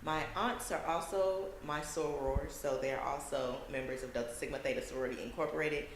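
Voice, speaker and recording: A woman's voice: deep voice, fairly even intonation, fairly quick. A young woman from Chicago, a student giving proud, earnest interview answers. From Chicago, USA. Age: young adult. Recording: roomy with background noise.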